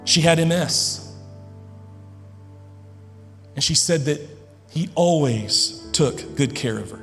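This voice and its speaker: A man's voice: on the high side, animated, faint music behind. Male pastor, earnest emphatic sermon delivery, big reverberant church hall. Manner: earnest, emphatic preaching with dramatic pauses.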